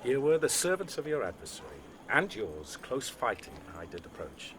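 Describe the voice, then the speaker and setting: A man's voice: mid-range voice, moderate pace, slight background noise. An actor playing an earnest young noble peacemaker, telling his tale with animation in a Shakespearean audio drama. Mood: earnest recounting. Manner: animated storytelling.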